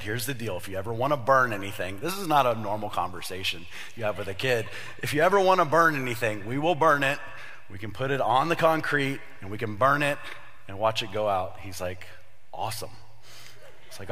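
A man in his thirties, a pastor with a slightly raspy voice from a cold, giving a casual, joking sermon to young adults. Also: mid-range voice, pushed and bright, long reverb tail. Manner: chatty storyteller, jokey asides, many fillers.

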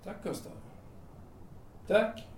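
An actor playing a carpenter in an audio drama, calmly and hesitantly coaxing someone in colloquial speech. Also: male voice, slight background noise, lightly acted. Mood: calm, placating. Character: calm carpenter talking a man down from a rooftop. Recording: roomy sound, distant from microphone.

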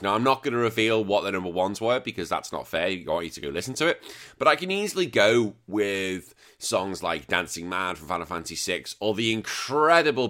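A man hosting a retro gaming podcast in casual chat, a bit croaky, blunt and joking, swearing freely. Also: sits low, bright, forceful tone. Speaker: podcast host.

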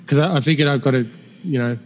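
A man in his forties with a mild speech impediment talks in a halting way, full of fillers and restarts. His voice has a long reverb tail over faint background noise. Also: mid-range voice. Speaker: podcast co-host. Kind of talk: casual chat.

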